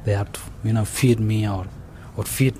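A young man with a Somali accent, telling his story in an interview, halting and full of restarts and fillers. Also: lowish voice, fairly even intonation. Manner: halting, many restarts and "you know" fillers. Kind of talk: oral history interview. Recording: faint background noise.

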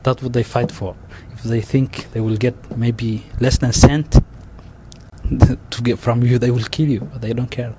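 A young man with a Somali accent, telling his story in an interview, halting and full of restarts and fillers. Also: mid-range voice, steady tone. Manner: halting, many restarts and "you know" fillers. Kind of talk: oral history interview. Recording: faint background noise.

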